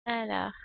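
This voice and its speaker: A woman's voice: sits high, gravelly, dry recording, highs cut off. A woman doing casual live video game commentary, playful and joking, with slangy talk. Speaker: game streamer. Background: faint video game music and dialogue.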